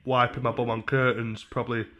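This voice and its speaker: A man in his twenties with a Northern English accent, talking casually and mock-earnestly as a deadpan, hesitant prank caller at a desk microphone. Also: mid-range voice, a little fast, muffled recording, a little hiss or hum. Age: 20s. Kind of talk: casual prank phone call. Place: small room at home. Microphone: desk microphone on a stand.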